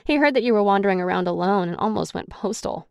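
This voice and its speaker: A swaggering, confident young woman who rushes in with news, as a narrator puts her on. She sits a bit higher and brighter than the narration, with a dry close sound. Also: lively intonation, gravelly, no room sound. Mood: urgent.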